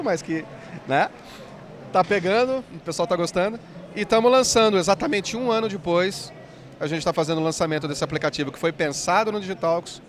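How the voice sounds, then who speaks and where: A man in his forties, an app company founder, explaining his product eagerly in an interview at a trade fair, speaking into a handheld mic. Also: a little high. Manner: fast, enthusiastic explainer with many "né"s. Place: busy indoor expo hall.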